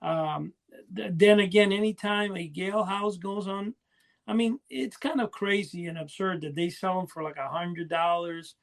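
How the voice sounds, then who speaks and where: A man's voice: sits high, moderate pace, gravelly. A man chatting casually as a podcast co-host, rambling and often losing his thread. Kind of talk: casual chat about collecting.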